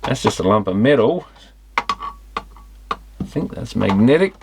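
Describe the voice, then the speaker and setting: Low-voiced man musing over electronics, noisy recording. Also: animated, slow. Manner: musing, thinking aloud. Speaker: electronics repair hobbyist presenting a teardown. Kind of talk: casual unscripted teardown commentary.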